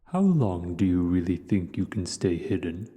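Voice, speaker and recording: A man playing a huge, ancient, menacing dragon in an audio roleplay, speaking slowly and deliberately in a patient, taunting way. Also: low-pitched, sing-song, dark tone. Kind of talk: scripted audio roleplay acting. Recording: cavernous long reverb, some background noise.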